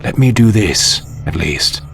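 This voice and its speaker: Banished elven demon hunter, video game: low, grave, proud, earnest, dramatic, music underneath. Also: male voice, expressive, unhurried, gravelly. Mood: pleading. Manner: solemn, dramatic, formal. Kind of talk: video game cutscene acting.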